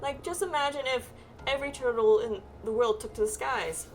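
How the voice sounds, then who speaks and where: A woman's voice: on the high side, a little fast, lightly acted. A woman voicing a breezy, confident astrologer guide from a fantasy game, chatty and teasing as she reads quest dialogue aloud. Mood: playful, boastful. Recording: distant mic, music behind.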